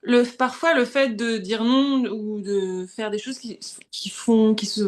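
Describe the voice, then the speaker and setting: A woman's voice: a little high, steady tone, unhurried. A woman in a workshop, reflecting casually and hesitantly. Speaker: workshop participant.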